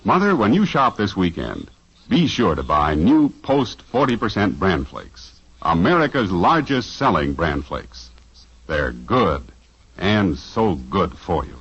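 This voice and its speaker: A man reading a 1950s radio commercial, smooth and persuasive. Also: sits low, sing-song, slow pace, a bit bright, soft background music. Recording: dull old radio broadcast recording.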